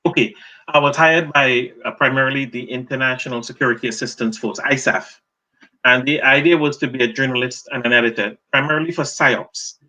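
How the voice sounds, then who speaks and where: A middle-aged Trinidadian man, a journalist and author, answering interview questions as a lively storyteller. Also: medium pitch, a little fast, low-quality recording. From Trinidad and Tobago.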